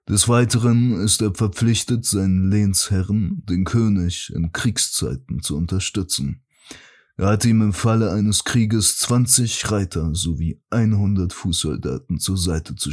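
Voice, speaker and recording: An actor playing a solemn royal herald who reads an old feudal charter in a stately, measured, declamatory way for an audio drama. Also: male voice, low voice, a little mellow, husky, heavily acted. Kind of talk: formal archaic proclamation in an audio drama.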